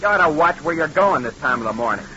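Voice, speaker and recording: Folksy nagging factory hand, raised pitch, lively swings, old radio drama. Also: male voice, quick pace. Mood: nagging, irritated. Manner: scolding, folksy, excitable. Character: gruff, fussy, nagging working-class male plant employee. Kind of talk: 1940s radio acting. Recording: dull vintage radio, faint background noise.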